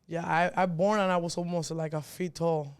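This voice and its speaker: Young woman guest with an unusually deep, smooth voice; frank, casual podcast talk. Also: fairly even intonation, normal speed. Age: 20s. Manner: frank, leans on "like" and "you know what I mean".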